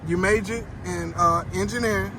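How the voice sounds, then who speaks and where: A man with a notably high voice hosts a street interview, asking direct questions casually. Clear traffic and street noise run behind him outdoors. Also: normal speed.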